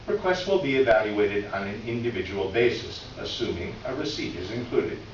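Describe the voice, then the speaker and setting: A man playing a condescending, officious department store official, reading a letter aloud on stage in a formal, sarcastic manner with deliberate emphasis. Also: on the low side, lightly acted. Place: live reading in an echoey room. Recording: distant echoey room, muffled, faint noise.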